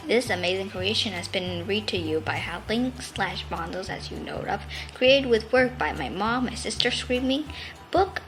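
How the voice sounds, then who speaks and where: A woman reading a children's storybook aloud in an expressive, deliberate narrating style. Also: medium pitch. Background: music.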